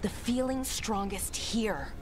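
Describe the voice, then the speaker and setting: A woman's voice: medium pitch, lively intonation, slow, music in the background. A woman playing an earnest, resolute mage companion in a fantasy video game, explaining things seriously. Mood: earnest, focused.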